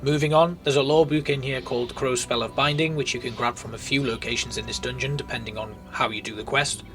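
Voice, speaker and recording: A man's voice: mid-range voice, steady tone, quick pace. A man giving informal, explanatory commentary as the host of a video game walkthrough. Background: music.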